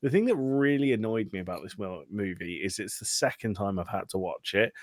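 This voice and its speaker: A man's voice: on the low side, lively intonation, brisk, dry recording, clean studio sound. A man hosting a casual film review chat in a blunt, sweary, exasperated way. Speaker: podcast host.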